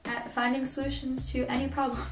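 A woman's voice: high voice, level tone, moderate pace, distant mic, soft background music. A young woman from the Philippines talking candidly to camera in a casual vlog, with lots of "like", swearing and laughter. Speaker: vlogger. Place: small bare room, indoors. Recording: echoey room, mic some way off.

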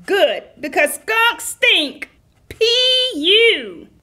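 A goofy puppet wolf performed by a woman for a children's puppet show. The voice is high and bright with big swoops in pitch. It sounds cheerful, muddled and singsong, like a storyteller who keeps getting lost. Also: very animated, extremely slow, exaggerated voice. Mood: silly, amused. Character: silly, muddled, cheerful puppet wolf telling stories wrong.

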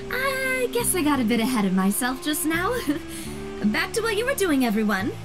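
A high-pitched, spirited young woman from an anime game, dramatic and fiercely loyal. Her delivery swings widely in pitch, with music behind her. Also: unhurried, rough recording. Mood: sheepish. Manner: dramatic, expressive, teasing.

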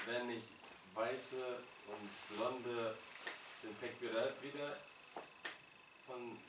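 Dude student cracking a joke from the back, far off mic, echoey room, hissy. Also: male voice, mid-range voice, steady tone, very slow, long pauses. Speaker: student in the class. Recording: roomy, far from the mic, noisy.

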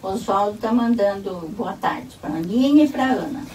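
A woman's voice: mid-range voice, expressive, moderate pace, a little mellow. A woman with a Brazilian Portuguese accent, relaying viewers' greetings and messages from off camera. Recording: distant, noisy room.

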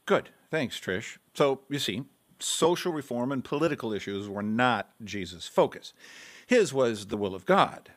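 Bible-study pastor: mid-pitched, expressive, patient yet firm, radio drama. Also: male voice, measured pace. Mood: patient teaching. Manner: instructive, emphatic.

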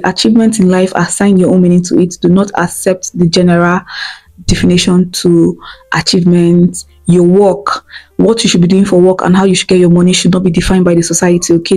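A woman's voice: fairly low pitch, warm tone, rough recording. A young Nigerian woman in her twenties with an Igbo accent, giving an earnest motivational talk to camera on a lapel mic, repeating her points and checking in with "okay?". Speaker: vlogger. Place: indoor room at home.

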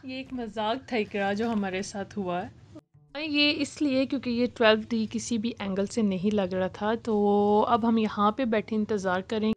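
A woman's voice: medium pitch, normal speed. A woman narrating a casual family day-out vlog in a cheerful way, often mixing English phrases into her speech. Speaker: vlogger.

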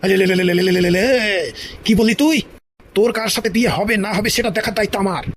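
A man's voice: sits high, brisk, slight background noise, lightly acted. A man voicing a furious, domineering old village father in a comedy cartoon, scolding with indignant stuttered repeats. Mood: stern, scolding. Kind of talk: exaggerated comedy cartoon dialogue.

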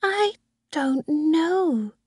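A woman's voice: sits high, lively intonation, very slow, no room sound, clean studio sound, voice pushed up, heavily acted. A woman voicing a timid, earnest little girl in a storybook, with a plaintive manner. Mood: quiet, worried.

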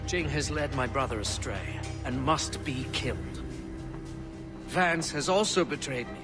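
An actor playing a distraught, self-pitying drunken assassin in a melodramatic video game cutscene. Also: male voice, mid-range voice, expressive, measured pace, music in the background, lightly acted. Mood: sober, bitter. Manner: theatrical, melodramatic, swinging between whining and outbursts.

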